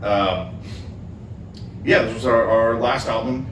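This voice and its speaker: A man with a low voice hosts a casual podcast chat, relaxed and rambling. He sits some way from the mic, so he sounds roomy and distant. A noisy background with a football game on surrounds him. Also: takes it slowly. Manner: relaxed, rambling, with frequent fillers and laughs. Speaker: podcast host and band member. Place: living room with a football game on.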